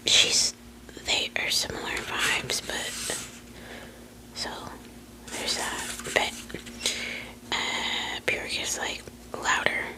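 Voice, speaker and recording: A young woman whispering close to the mic, rambling, swearing freely, full of fillers. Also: low-quality recording. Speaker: vlogger. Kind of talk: whispered casual chat.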